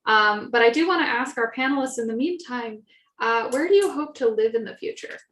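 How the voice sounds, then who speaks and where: A woman's voice: fairly high pitch, lively intonation, a little fast, fairly bright tone. A young woman moderating a student-led online panel, speaking hesitantly with many ums. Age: young adult. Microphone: video call. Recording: echoey room.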